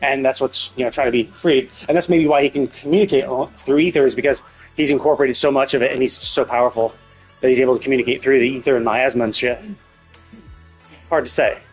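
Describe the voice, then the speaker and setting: A man's voice: mid-range voice, fast, slightly rough, low-quality recording. Man rambling casually over background music. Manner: rambling, hesitant, sweary, speculative. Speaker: live game streamer. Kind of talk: casual livestream commentary, thinking aloud.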